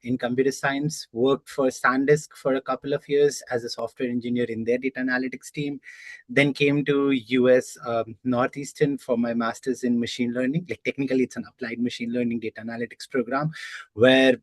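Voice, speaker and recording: This young man speaks at a mid pitch, working through his answers in a steady, explanatory way and leaning on "like" as he goes. His voice comes across dry and close, with no room sound, as if recorded over a video call. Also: dry recording. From Nepal. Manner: measured, explanatory, many "like" fillers. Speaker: guest, software engineer. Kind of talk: casual interview answers.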